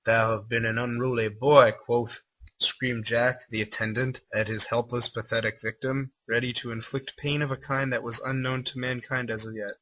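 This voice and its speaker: Male livestream reader, raspy and sharp-toned, irreverent comic narrator with disbelieving commentary. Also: medium pitch, level tone, moderate pace, poor audio. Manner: irreverent, incredulous, swearing asides. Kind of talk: comic dramatic reading with off-the-cuff commentary.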